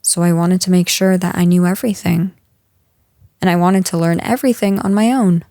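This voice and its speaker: Woman acting as earnest female boss, soft mellow voice. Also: on the low side, steady tone, no room sound, studio quality, natural voice. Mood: reassuring, earnest. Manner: gentle, earnest, hesitant pauses, reassuring. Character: frustrated but caring female boss, apologetic and earnest. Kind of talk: intimate audio roleplay acting.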